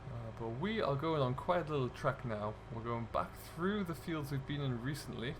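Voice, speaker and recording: A man's voice: mid-range voice, expressive, normal speed, noisy background. A man giving a chatty, easygoing running commentary to viewers as he plays a farming game. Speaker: host, gaming video creator. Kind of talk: casual let's-play commentary of a farming simulator.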